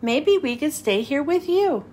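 A woman's voice: high-pitched, expressive, unhurried, darkish tone, a little hiss or hum. A woman voicing an earnest, plucky young male reindeer in a storybook, speaking emphatically. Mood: hopeful.

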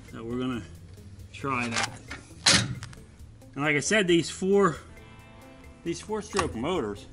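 A man giving a casual step-by-step how-to while working on a boat trailer on his driveway, often turning away from a low camera. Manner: plain, practical, step-by-step explaining while working. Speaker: DIY vlogger. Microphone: camera mounted low on the ground, voice often off-mic.

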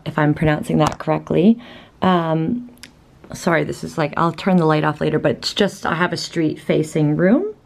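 A woman's voice: fairly low pitch, creaky. A woman in her thirties, a travel vlogger giving a chatty, upbeat tour of a small hotel room, filming with a handheld camera. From Boston, USA. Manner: chatty, upbeat, thinking aloud with fillers. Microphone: handheld camera at arm's length.

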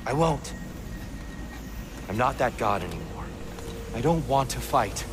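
An actor playing a sorrowful, gentle former war god in a video game, speaking in a grave and measured way. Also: male voice, medium pitch, big pitch swings, noisy background. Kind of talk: dramatic video game acting.

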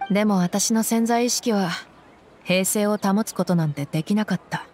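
An actress playing a tough, loyal young desert mercenary woman in a game, with rough tomboyish speech and a wistful streak. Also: mid-range voice, darkish tone, slight background noise, lightly acted. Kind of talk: dramatic anime-style game dialogue. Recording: music behind the voice. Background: background game music.

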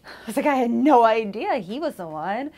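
A woman's voice: on the high side, animated, moderate pace, a little mellow. A woman in her twenties answering interview questions in an office, earnest with some fillers. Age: 20s. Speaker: company employee.

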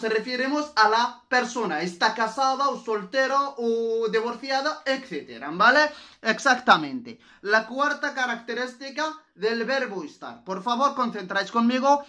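A man's voice: extremely high pitch, pushed and bright, reverberant. A man from Morocco with a Moroccan accent, a native Arabic speaker, teaching a grammar lesson in a repetitive, didactic way with frequent checking tags. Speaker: Spanish language teacher. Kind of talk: scripted-free grammar lesson with examples.

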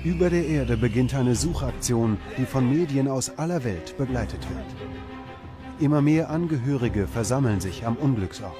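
A man reading scripted documentary narration in a measured, serious way. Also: medium pitch, animated. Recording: music under the narration. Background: background music.

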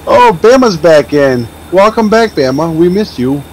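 A man chats with friends in a game voice chat, needling the host with easy laughs. His voice is a little high, over a faint hum. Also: lively intonation, measured pace, darkish tone, rough recording. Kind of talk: casual group chat.

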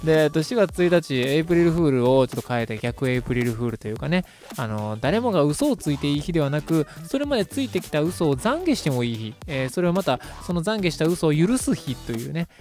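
A man's voice: a little high, very animated, fast, few pauses, darkish tone. A man of about thirty hosting a casual radio talk, summing up and commenting in a polite, chatty way. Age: around 30. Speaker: radio host. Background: background music.

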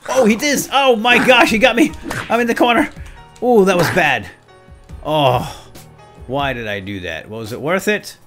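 A man's voice: high-pitched, a little slow, faint music behind. A man hosting a video game let's play, jokey and playful, chatting to viewers and to himself as he plays. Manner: animated, jokey, talks to viewers and himself, exclaims. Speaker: host of a gaming let's play series.

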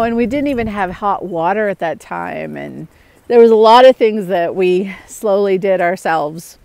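A woman's voice: medium pitch, darkish tone. A middle-aged woman chatting casually and candidly to viewers as a homestead vlogger, outdoors on an earbud mic. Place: outdoors in the woods.

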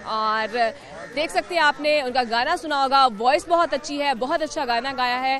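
A young woman hosting a TV street quiz in a zoo park, talking into a handheld mic with a lively, coaxing manner. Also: high voice. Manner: lively, laughs often, coaxing. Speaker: TV street-interview host. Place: outdoors in a city zoo park. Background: light music and crowd chatter.

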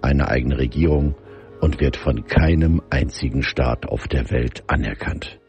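A man reading a calm, explanatory voice-over narration. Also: a bass voice, a little slow, over music.

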